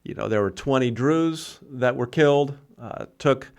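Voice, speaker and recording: A man's voice: medium pitch, measured pace, creaky, a little mellow, smooth. A man co-hosting a podcast, giving conversational commentary on current affairs. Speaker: podcast co-host.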